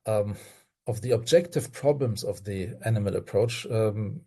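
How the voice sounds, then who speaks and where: Older German-accented man, mellow voice. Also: on the low side, moderate pace. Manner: earnest, hesitant with fillers, laughs while speaking. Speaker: guest toxicologist. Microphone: video call.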